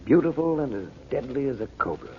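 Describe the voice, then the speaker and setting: An actor playing a calm, authoritative magician hero, speaking firmly and reassuringly in an old radio drama. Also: male voice, medium pitch, a little fast, slight background noise, close to an everyday voice. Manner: firm, reassuring. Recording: muffled old radio transcription.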